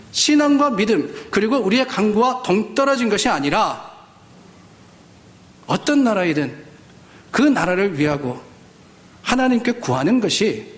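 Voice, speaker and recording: A middle-aged man with a somewhat high-pitched voice preaches as a pastor. He speaks in a conversational, storytelling way, often putting rhetorical questions to his congregation. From South Korea. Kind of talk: Sunday sermon with personal anecdotes.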